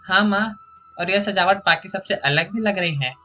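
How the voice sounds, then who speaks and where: A man voicing an eager young village boy in a moral story, excited and earnest. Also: high-pitched, normal speed, faint music behind, pitched above the performer's own voice. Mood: proud.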